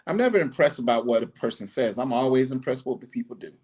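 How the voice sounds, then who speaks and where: A middle-aged man hosting a podcast, giving a blunt, preachy monologue with animated asides. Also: mid-range voice, quick pace, husky. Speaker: podcast host. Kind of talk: casual monologue.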